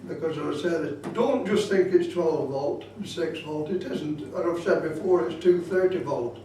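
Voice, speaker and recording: Man, high voice, informal demonstration talk, insistent explanatory manner, heavy room echo. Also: normal speed, dark tone, a little hiss or hum. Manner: repeats points insistently, explanatory. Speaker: radio club presenter giving a talk. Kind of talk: informal hands-on demonstration talk with safety warnings. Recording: very echoey room, distant microphone.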